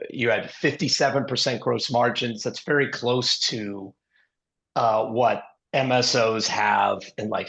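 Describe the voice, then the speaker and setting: Hoarse, gravelly male interview host. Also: mid-range voice, pushed and bright, poor audio. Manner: rambling, heavy with ums and uhs. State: hoarse, scratchy voice. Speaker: podcast host and investor. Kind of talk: casual interview talk.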